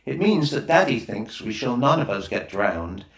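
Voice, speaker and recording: A man's voice: medium pitch, sing-song, normal speed. A man voicing a confident eldest boy in a storybook, decisive and explanatory in manner. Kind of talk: storybook character reading. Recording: roomy, echoing voice recording.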